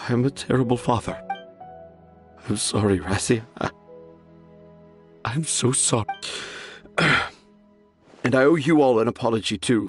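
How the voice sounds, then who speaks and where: A man's voice: lowish voice, lightly acted. An actor playing a self-deprecating, earnest father and storyteller in a video game, apologetic in manner. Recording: loud music behind the voice.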